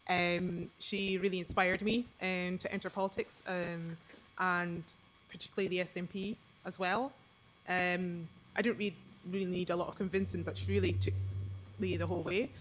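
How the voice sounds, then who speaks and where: Earnest woman panelist, fairly low voice. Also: steady tone, moderate pace, fairly bright tone, slight background noise, poor audio. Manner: earnest, many fillers. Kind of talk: panel discussion answer.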